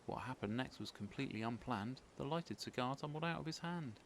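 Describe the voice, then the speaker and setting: Guy reading a kids book out loud, very expressive, bit gravelly, faint noise. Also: mid-range voice, a little fast. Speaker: storybook narrator. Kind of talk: expressive children's read-aloud.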